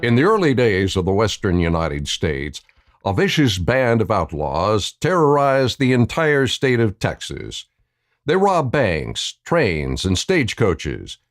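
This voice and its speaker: A man's voice: fairly low pitch, wildly swooping pitch, slow pace, faint music behind, full character voice. A man playing the stirring, declamatory announcer and narrator of an old-time western radio serial. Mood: grave. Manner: dramatic, declamatory.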